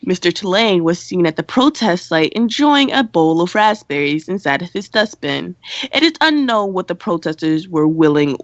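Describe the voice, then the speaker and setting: A woman's voice: on the low side, very animated, moderate pace, dry recording, lo-fi sound. A woman playing a prim, rule-minded student news host in an amateur radio play. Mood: formal newscasting. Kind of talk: amateur radio play, scripted newscast delivery.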